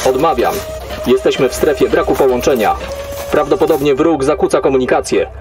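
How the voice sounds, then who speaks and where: A man's voice: medium pitch, brisk, over music, lightly acted. A man playing an emotionless military AI, giving clipped, formal reports in a sci-fi audio drama. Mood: cold, detached. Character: cold logical military AI controlling a soldier's body.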